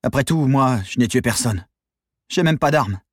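A man's voice: medium pitch, expressive, moderate pace, no room sound, clean studio sound, pitched above the performer's own voice, close to an everyday voice. A man voicing a weary gang accomplice who argues and pleads to give up, in an audiobook thriller. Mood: reproachful, resigned. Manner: pleading, argumentative. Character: weary male accomplice, resigned, reproachful, ready to surrender.

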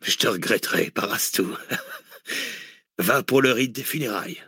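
Cold gang boss, low and husky, clipped; a male narrator plays him in an audiobook thriller. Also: measured pace, sharp tone, no room sound, clean studio sound, exaggerated voice. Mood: sardonic. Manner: curt, clipped, menacing. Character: ruthless male gang leader, cold, short-tempered.

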